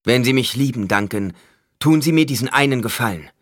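A man's voice: mid-range voice, lively intonation, brisk, no room sound, clean studio sound, voice pushed up, close to an everyday voice. A man voicing a grieving young male ruler in an audiobook, stern and commanding with a toneless edge.

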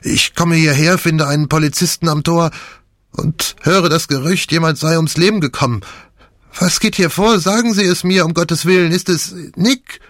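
A man's voice: lowish voice, flat delivery, moderate pace, few pauses, no room sound, clean studio sound, voice pushed up, close to an everyday voice. A man reading an audiobook, voicing a frantic, anguished young man who blurts out urgent questions. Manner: bursting out in urgent, loud questions. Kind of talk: audiobook dialogue.

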